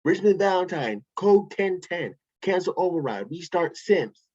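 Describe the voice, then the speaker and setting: A woman reading lines in an amateur online table read, lively and emphatic. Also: on the low side, unhurried, husky, dry recording, muffled recording.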